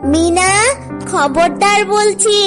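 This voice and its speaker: A woman's voice: very high voice, slow pace. A woman voicing a stern, protective cartoon mother fox who scolds and warns with suspicion. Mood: alarmed, stern. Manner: scolding, emphatic warnings. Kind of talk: children's cartoon voice acting. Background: children's music.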